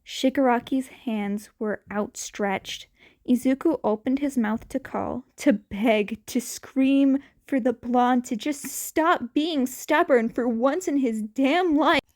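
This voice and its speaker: A woman narrates a story from a script in a fairly high voice. She takes her time, reading very slowly and deliberately, and now and then trips slightly over a word. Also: darkish tone. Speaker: podfic narrator. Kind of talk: scripted fan fiction narration, read aloud.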